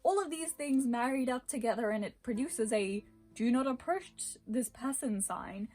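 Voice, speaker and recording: Rambling woman with a higher voice. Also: moderate pace, darkish tone, faint music behind. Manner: rambling, self-deprecating, thinking aloud. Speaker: vlogger. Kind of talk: casual personal vlog monologue.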